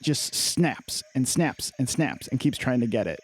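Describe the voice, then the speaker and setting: Informal mid-pitched male tabletop player. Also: expressive, a little slow, slightly rough. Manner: informal, conversational. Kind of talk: casual table talk and storytelling.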